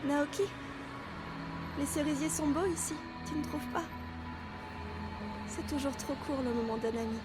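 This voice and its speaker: A woman voicing a gentle, mysterious schoolgirl ghost in a French audio drama, speaking softly and evasively. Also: sits high, unhurried, pauses often, over loud music.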